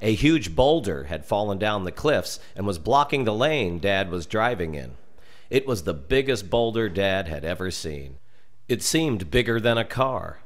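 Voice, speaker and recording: Low-voiced American man telling a story. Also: very animated, a little slow. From United States. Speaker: storyteller reading a story aloud. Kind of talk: expressive scripted narration of a humorous dark tale.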